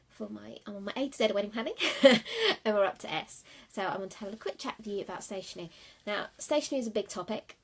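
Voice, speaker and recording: A woman speaks in a husky, slightly breathy voice, sniffly from a winter cold. She talks in a loose, chatty way, full of fillers and asides, as she hands out wedding-planning tips. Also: medium pitch. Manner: chatty, rambling, many fillers. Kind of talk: casual livestream tips. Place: office at night.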